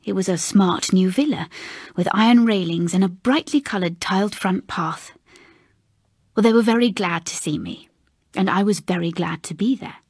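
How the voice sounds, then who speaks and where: A woman acting as a middle-aged English housekeeper in an audiobook ghost story. She is proud and reserved, haunted by memory. Her breathy voice goes slowly and haltingly, with understatement, in a dry recording. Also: mid-range voice, a little mellow, no room sound. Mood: wistful, grave.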